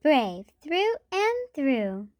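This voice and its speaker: A woman voicing a kindly female bear doctor in a storybook, soothing and reassuring, with a gentle sing-song delivery. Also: high-pitched, sing-song, extremely slow, dry recording, studio quality, pitched above the performer's own voice, full character voice. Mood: tender.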